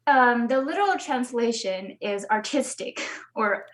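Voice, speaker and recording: A woman's voice: a little high, expressive, a little slow. A young woman from the Philippines, a guest musician, chatting in an interview with frequent "like"s and small laughs. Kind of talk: casual interview chat.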